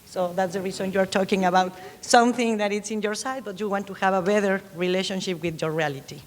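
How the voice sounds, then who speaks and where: A woman's voice: mid-range voice, fast talker, long reverb tail. A woman with a Mexican Spanish accent, Spanish being her first language, speaking on a panel and searching for her words as she goes. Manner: searching, hesitant phrasing. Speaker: panelist author. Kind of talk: panel discussion. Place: lecture hall.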